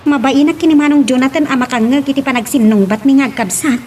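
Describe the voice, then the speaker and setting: A woman's voice: high voice, fast, darkish tone, a little hiss or hum, lightly acted. A woman playing a bitter, poverty-weary young woman in an Ilocano audio drama. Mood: exasperated.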